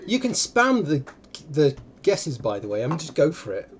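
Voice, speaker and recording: A man hosting a casual online game stream, bantering in a chatty, animated and playful way. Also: fairly high pitch, normal speed, a little hiss or hum. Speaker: host of a livestream. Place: indoors, on an online video call.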